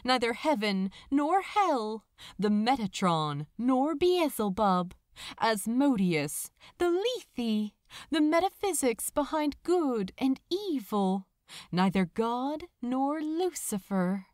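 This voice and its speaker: A woman reading a romantic story aloud as a narrator, with a dramatic, tender and measured delivery. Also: fairly high pitch, big pitch swings, a little mellow, no echo, studio quality. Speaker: fan fiction audiobook narrator. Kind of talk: expressive scripted story narration.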